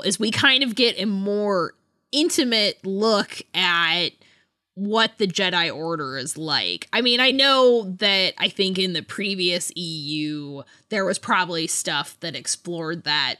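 A woman chats as a podcast co-host in a casual book discussion. Her voice is bright and a little low, recorded dry and close. She takes her time, tentatively working through each thought. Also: no room sound.

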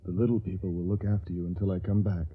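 A low, husky voice: an earnest young man in love, speaking gently. The radio drama recording is a little muffled. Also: flat delivery, fast talker. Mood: reassuring. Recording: slightly dull old radio recording.

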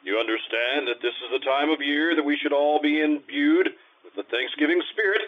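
An actor playing a falsely genial, self-important school principal in an old-time radio comedy. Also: male voice, a little high, normal speed, close to an everyday voice. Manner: oily, self-important politeness. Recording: tinny, phone-like old radio-style sound.

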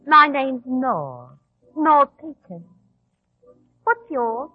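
A shady pub girl, chummy n coaxing, highish husky voice, tinny old radio drama. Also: big pitch swings, very slow, dry recording, exaggerated voice. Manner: familiar, coaxing, probing. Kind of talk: 1940s radio drama acting.

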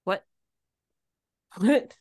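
A woman's voice: extremely slow, a little mellow. A woman hosting a podcast in casual chat, reacting playfully with hums and short exclamations. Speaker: podcast host.

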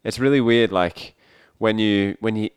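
A man's voice: on the low side, unhurried, smooth, no room sound. A man co-hosting a casual podcast, telling stories in an easygoing, rambling way. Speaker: podcast co-host. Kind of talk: casual chat.

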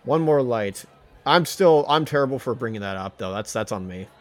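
A man's voice: mid-range voice, animated. Rambling man on a casual podcast. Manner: rambling, halting, repeats himself. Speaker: podcast co-host. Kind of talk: casual banter and ranting.

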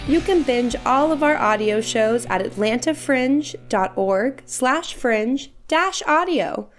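A woman announcer with a slightly high voice reads a scripted sponsor message. Music plays clearly underneath her. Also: lively intonation, takes it slowly.

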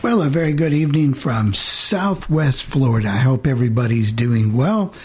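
A man speaks as the host of a live radio show. His mid-pitched voice has an informal, chatty delivery, as if talking with a panel of regulars. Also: lively intonation, moderate pace. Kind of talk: casual live panel hosting.

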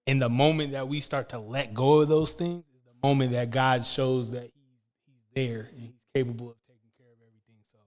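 A man co-hosting who speaks in a calm, reflective way. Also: mid-range voice, brisk, long reverb tail.

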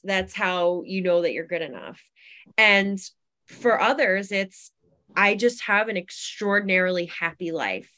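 A woman's voice: medium pitch, bright, forceful tone. A woman speaking as an interview guest, reflective and conversational, thinking aloud about life and often voicing imagined examples. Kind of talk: conversational self-help discussion.

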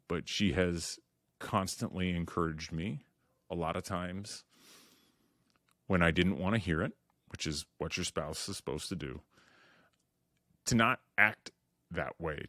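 A middle-aged man with a very deep, low voice talks through a reflective solo podcast. He speaks candidly and earnestly, often restarting his sentences. The sound is dry and close, with no room echo. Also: a bit bright. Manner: candid and earnest, with frequent "you know" and restarts. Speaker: podcast host.

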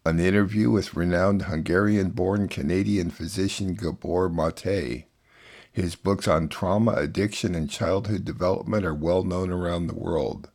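A man's voice: low voice, a little mellow. A man hosting a radio show, reading scripted introductions and announcements.

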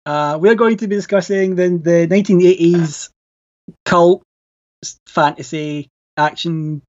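A man's voice: high-pitched, fairly even intonation, measured pace, dull audio. A man with a Glasgow accent, a podcast guest chatting casually, hesitant with many fillers and restarts. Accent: Glaswegian. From Scotland. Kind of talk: casual chat.

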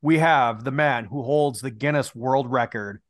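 A man's voice: medium pitch, a little slow, no echo, studio quality. A man hosting a podcast, giving hyped, joking introductions in casual chat. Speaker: podcast host.

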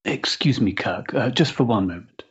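A man plays a highly strung young gentleman in a Victorian stage drama. He talks effusively and theatrically in a mid-pitched voice, on a slightly muffled recording. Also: expressive, normal speed, breathy edge. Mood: effusive, anxious. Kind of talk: period stage drama acting.

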